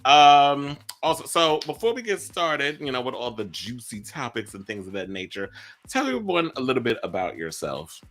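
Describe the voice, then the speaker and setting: Lively, bright-voiced male interview host. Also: mid-range voice, normal speed. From New York. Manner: animated, chatty and playful. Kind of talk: casual interview chat.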